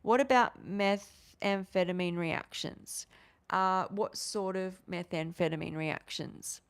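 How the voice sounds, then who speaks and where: A woman in her forties hosting a casual livestream, talking in a rambling, animated way with many restarts. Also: lowish voice, slow pace, smooth. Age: 40s. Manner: rambling, animated, restarts often, gestures with hands. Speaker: livestream host. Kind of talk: casual livestream chat answering viewers.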